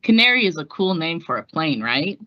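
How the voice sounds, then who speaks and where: A woman's voice: low-pitched, sing-song, normal speed, dry recording, dull audio. A woman reading a children's storybook aloud in a playful, chatty way, adding her own exclamations. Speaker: read-aloud host.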